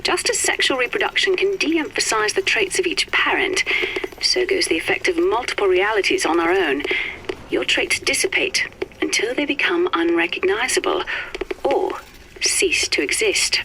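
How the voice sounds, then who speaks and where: An actress playing a cool, erudite, wry and melancholy physicist in a game audio log, speaking in precise, measured, formal phrases. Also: female voice, medium pitch, lightly acted. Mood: dry, detached. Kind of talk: scripted dramatic audio-log monologue for a game. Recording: noisy, crackly vintage audio-log recording.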